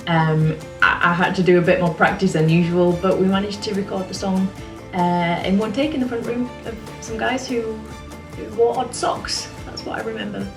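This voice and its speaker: A woman's voice: mid-range voice, moderate pace. Chatty woman in a small roomy studio. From England. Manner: chatty, relaxed, rambling. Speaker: singer-songwriter. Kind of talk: casual talk to camera. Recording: roomy, a little distant from the mic, music behind.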